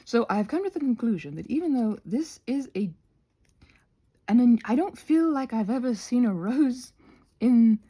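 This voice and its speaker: A woman with a soft, slightly husky voice rambles casually to viewers in an ASMR craft video, halting and self-conscious, drifting into asides. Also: on the high side, expressive. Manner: halting and self-conscious, trailing off mid-thought, many asides.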